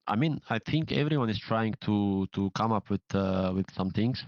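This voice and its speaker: A man answering interview questions as a team's in-game leader, measured and pausing to find his words. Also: on the low side, no echo. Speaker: professional gamer, in-game leader. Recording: dull lo-fi sound.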